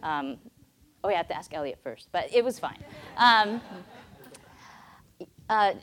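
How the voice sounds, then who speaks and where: A woman's voice: fairly low pitch, animated, unhurried, a bit bright. An American woman in her thirties, a native Mandarin speaker, telling her own story as a guest speaker at a church, in an earnest, conversational way. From United States. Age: 30s. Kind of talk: personal testimony talk.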